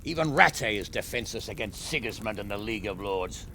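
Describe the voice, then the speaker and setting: An actor playing a cautious, practical medieval lord who speaks formally and decisively in a game cutscene. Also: male voice, lowish voice, lively intonation, brisk, pushed and bright, exaggerated voice. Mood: sober objection. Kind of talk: dramatic game cutscene acting.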